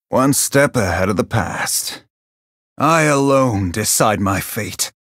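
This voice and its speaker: A proud, weary wandering swordsman for a video game. The voice is low and unhurried, captured close and dry. Each line is terse and solemn, with a dramatic, brooding defiance. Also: animated, fairly bright tone, no room sound, exaggerated voice. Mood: grim resolve. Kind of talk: video game character voice lines. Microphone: close, dry studio voice-over.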